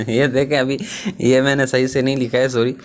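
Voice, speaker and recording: A man's voice: mid-range voice, flat delivery, moderate pace. A man presenting a casual app tutorial as a YouTube host, chatting to viewers as friends.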